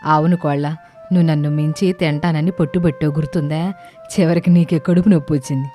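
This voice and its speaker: An affectionate, wistful village mother-in-law acted by a woman in a cartoon story. Her voice is low and very mellow, with rustic, homely phrasing. Music plays under her. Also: steady tone, quick pace, lightly acted. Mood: fond, nostalgic. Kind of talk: acted dialogue in animated comedy story.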